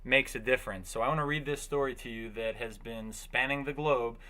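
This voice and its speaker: A man's voice: medium pitch, fairly quick, sharp tone. Earnest man reading an inspirational story. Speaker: presenter reading a story aloud.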